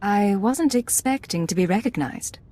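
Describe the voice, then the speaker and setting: An actress playing a commanding, composed secret agent in a video game, with controlled, clipped delivery. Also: female voice, mid-range voice, animated, moderate pace, warm tone, close to an everyday voice. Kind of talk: dramatic game dialogue.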